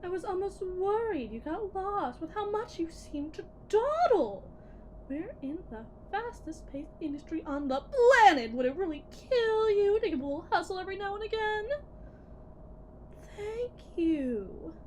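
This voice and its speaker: Condescending, menacing female corporate technician woman acting, high-pitched, unhurried, theatrical ASMR roleplay delivery over faint noise. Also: sing-song, darkish tone, heavily acted. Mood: mock-polite, impatient. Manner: theatrical, patronizing, deliberate with dramatic pauses. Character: condescending, menacing female lead technician at shady robot company. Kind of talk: scripted ASMR roleplay monologue.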